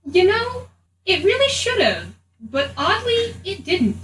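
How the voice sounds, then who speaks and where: High, animated woman role-playing, echoey room. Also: slow, recorded from a distance. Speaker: tabletop game player. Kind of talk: casual in-character role-play. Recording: echoey room, off mic, faint noise.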